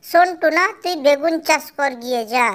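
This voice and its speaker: High-pitched woman acting a firm cartoon village mother. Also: moderate pace, natural voice. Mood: scolding. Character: widowed farming mother, firm with family, sentimental. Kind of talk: Bengali cartoon story acting.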